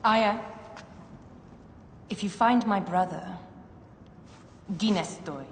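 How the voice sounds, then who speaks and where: A woman's voice: medium pitch, takes it slowly. An actress playing a proud, defiant, regal queen in a video game cutscene. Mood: stern. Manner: regal, haughty. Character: proud, defiant young queen clinging to her throne. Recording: echoey hall, faint noise.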